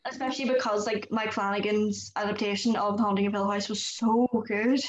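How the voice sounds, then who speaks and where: A young woman with a Northern Irish accent hosting a video-call panel from home, animated and self-deprecating. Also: medium pitch, a little fast, muffled recording. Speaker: host. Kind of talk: casual video call chat. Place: home room indoors. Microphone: laptop webcam on video call. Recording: roomy webcam audio.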